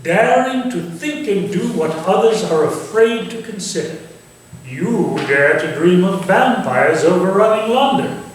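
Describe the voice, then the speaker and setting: Guy playing a posh-mannered victorian sir, intrigued and dramatic, swoopy highish voice, staged reading, far from mic with big echo. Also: lively intonation. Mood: admiring, provocative. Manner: deliberate, dramatic, cultivated phrasing. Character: Sir Walter, urbane Victorian gentleman, theatrical, eagerly intrigued. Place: echoey room or small theatre, staged reading. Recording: very echoey, distant stage recording, faint noise.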